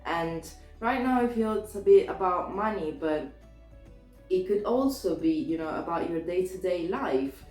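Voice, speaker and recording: A woman with a sore throat, a little hoarse, giving a casual tarot reading in a chatty, hesitant way with many restarts. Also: fairly low pitch, animated, a little slow, a little mellow, reverberant, soft background music. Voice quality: slightly hoarse. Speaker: tarot reader.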